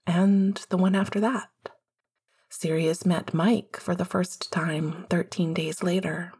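Low, husky woman narrating fiction. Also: a little mellow. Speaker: audiobook narrator.

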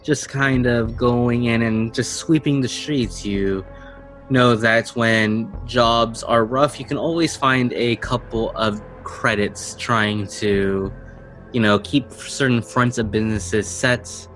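A man's voice: medium pitch, fairly even intonation, slow pace, a bit bright, poor audio. Male game master with music behind him. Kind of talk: narrating a tabletop role-playing game. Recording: music under the voice.

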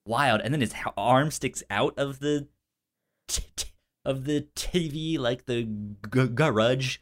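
A man's voice: medium pitch, takes it slowly. A man co-hosting a casual film discussion, stuttering often as he repeats sounds and syllables. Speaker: podcast co-host.

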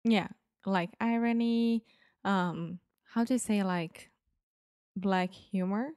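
A woman's voice: mid-range voice, takes it slowly, a little mellow, clear, resonant tone, dry recording, studio quality. A woman co-hosting a podcast, playful and curious, asking questions as she learns. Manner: playful, laughs often, asks questions. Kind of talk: casual chat.

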